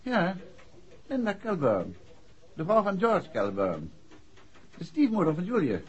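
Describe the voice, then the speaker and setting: An actor playing a shrewd, courteous detective who puts calm, measured questions in a 1960s radio drama. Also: male voice, high voice, heavily acted. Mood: dry, insistent. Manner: polite, measured, probing questions. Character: shrewd, courteous male amateur detective, probing calmly. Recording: dull, muffled 1960s radio recording.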